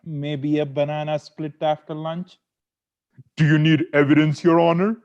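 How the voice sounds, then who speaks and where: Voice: mid-range voice, little pitch movement, normal speed, darkish tone, highs cut off, close to an everyday voice. A performer in an amateur table read playing a stressed, sweet-toothed lawyer husband who bickers, wheedles and pleads like he is in court. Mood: craving, pleading. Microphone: video call.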